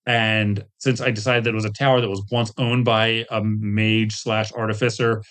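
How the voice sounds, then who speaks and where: A man's voice: lowish voice, flat delivery, moderate pace, fairly bright tone. A man telling rambling, self-deprecating anecdotes with jokey asides as a guest in a casual interview. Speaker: guest, tabletop game designer and webcomic artist.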